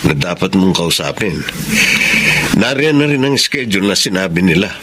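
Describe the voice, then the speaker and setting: A lowish-voiced smitten young suitor. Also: male voice, lively intonation, moderate pace, background noise. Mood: helpful. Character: smitten, ardent male teacher and secret suitor. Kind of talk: Tagalog radio drama acting.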